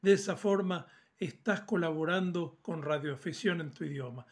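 A man's voice: fairly high pitch, moderate pace. A man, giving an informal, didactic tutorial straight to the viewer as a channel host. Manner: didactic, addresses viewer directly. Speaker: amateur radio channel host. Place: small indoor room at home. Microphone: phone at arm's length.

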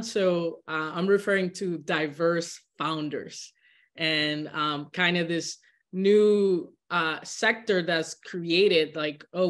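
A woman's voice: lowish voice, fairly bright tone. A young woman from the Dominican Republic, a guest on a video call interview, speaking earnestly and often restarting words as she thinks. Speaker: guest, social entrepreneur. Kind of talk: candid interview conversation. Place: home living room.

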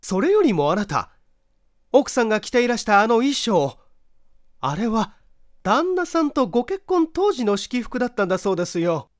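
A man's voice: very high-pitched, sing-song, normal speed, no room sound, clean studio sound, pitched above the performer's own voice. A man voicing a gossipy, polite and earnest neighbor housewife in a mystery audiobook. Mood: eager gossip. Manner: polite feminine speech, chatty, earnest. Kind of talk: audiobook character voice in a mystery story.

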